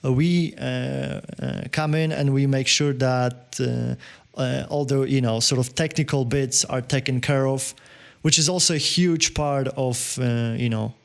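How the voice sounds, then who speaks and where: A man from Poland, answering interview questions as a podcast guest in a halting way, full of fillers and restarts. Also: mid-range voice, steady tone, creaky. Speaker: podcast guest, business owner.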